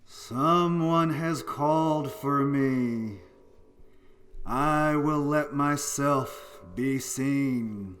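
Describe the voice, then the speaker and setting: Sham ghost played by a man for radio play: extremely slow, eerie, mid-pitched, drawn-out summons. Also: a bit bright, faint music behind. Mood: eerie, beckoning. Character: sham seance ghost, a male accomplice putting on spirit voice.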